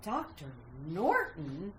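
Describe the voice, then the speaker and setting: A woman playing a frightened, tormented woman in a retro radio thriller, speaking haltingly and dramatically. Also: medium pitch, wildly swooping pitch. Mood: uneasy questioning. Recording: echoey room with faint hiss.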